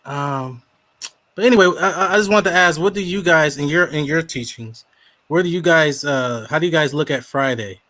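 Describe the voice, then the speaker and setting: A man on a call in an online debate, calm and conciliatory, halting and restarting as he speaks. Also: fairly high pitch, no room sound.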